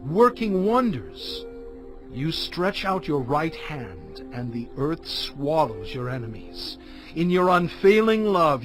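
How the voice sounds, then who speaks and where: An actor playing Moses, a fervent and reverent prophet, declaiming scripture in a measured way. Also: male voice, on the high side. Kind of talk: dramatized scripture recitation.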